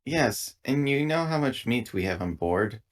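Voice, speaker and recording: A man roleplaying as a player in a tabletop game, with formal, deliberate wording. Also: medium pitch, expressive.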